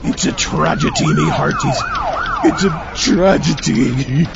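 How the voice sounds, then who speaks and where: A man voicing a grieving pirate with a drawn-out theatrical lament in a comedy radio play. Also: fairly high pitch, huge pitch swings, vocal fry, heavily acted. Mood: mournful. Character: grieving old-style pirate patron. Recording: lo-fi, very noisy outdoor scene.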